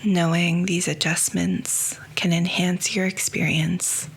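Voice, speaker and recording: A woman guiding a yoga nidra meditation, speaking softly and slowly, often close to a whisper. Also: fairly low pitch, flat delivery, a little hiss or hum, rough recording. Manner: soft, slow and hushed, often near a whisper. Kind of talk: guided meditation.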